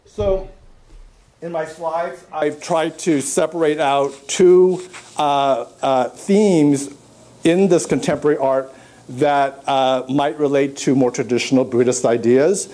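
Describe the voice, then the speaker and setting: Man from Burma, conference lecturer, mellow slightly high voice, halting delivery full of fillers. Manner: halting, many uh and um fillers, pointing to slides. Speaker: art historian giving a conference talk. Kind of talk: academic conference presentation.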